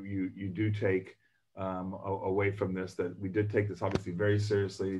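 A hoarse man giving unscripted welcome remarks as a government official on a video call, halting with many fillers and restarts. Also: sits low, darkish tone. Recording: muffled video call audio.

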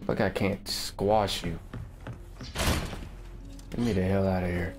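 A man's voice: low-pitched, slow, over music. A young man giving loose, profane let's-play commentary from a home gaming setup with a webcam. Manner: loose, slangy, profane, excitable. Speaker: gaming streamer.

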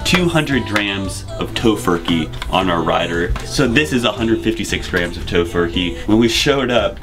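A man's voice: lowish voice, animated, moderate pace, over music. A young man, a touring musician, chatting casually and playfully for a documentary on a tour bus. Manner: playful, chatty, laughs often.

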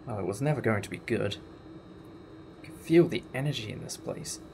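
A man's voice: fairly low pitch, a little fast, gravelly, background noise. A man playing a wry, inquisitive time-traveller in a sci-fi audio drama, with a sardonic, explanatory manner. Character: wry, inquisitive, defiant male time-travelling alien adventurer.

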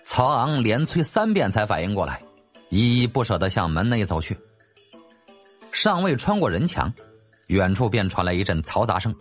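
一位男演播者用戏剧化又略带调侃的口吻讲述历史小说有声书。. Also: male voice, lowish voice, sing-song, moderate pace. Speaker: audiobook narrator. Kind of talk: dramatic storytelling narration of a historical novel. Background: faint background music.

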